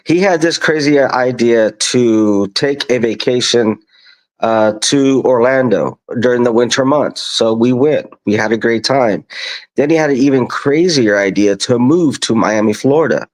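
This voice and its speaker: Male podcast guest, fifties, medium pitch, casual storytelling, deliberate and emphatic phrasing. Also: low-quality recording. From born in Colombia, raised in Kansas, USA. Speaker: podcast guest, lawn business owner.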